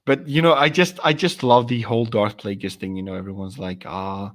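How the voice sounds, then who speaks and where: A young man with a deep voice, chatting casually on a stream and telling stories in a rambling, animated way with lots of fillers and restarts. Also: a little fast. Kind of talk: casual chat.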